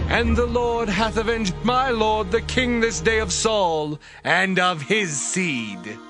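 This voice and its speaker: A man's voice: high-pitched, animated, slow pace, over music, pitched above the performer's own voice. A man voicing a fawning assassin who proclaims obsequiously to his king in a scripture drama. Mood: fawning triumph.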